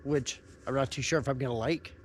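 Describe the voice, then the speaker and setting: A man's voice: mid-range voice, a little fast, a little hiss or hum. A man with a stuffy, nasal voice from a head cold, chatting casually to camera outdoors at a campsite. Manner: relaxed, rambling, with fillers and restarts. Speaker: vlogger. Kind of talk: casual vlog talk to camera.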